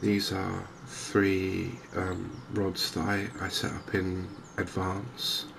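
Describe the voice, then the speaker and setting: A man softly narrating a game in ASMR style, hushed and hesitantly thinking aloud. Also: deep voice, completely flat delivery, takes it slowly, creaky. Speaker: gaming video host. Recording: noticeable background ambience noise. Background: game ambience.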